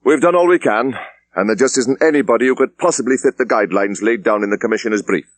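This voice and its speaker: A man's voice: mid-range voice, fast talker, no room sound, pitched above the performer's own voice, lightly acted. A man voicing a firm, businesslike British police superintendent with clipped speech in an audiobook. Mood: firm, brisk.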